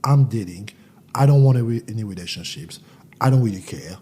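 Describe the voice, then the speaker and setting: Mellow husky-voiced man from Central Africa. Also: on the low side, animated. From Central Africa, raised in France. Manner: candid, reflective, halting with many restarts. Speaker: guest. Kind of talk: casual interview.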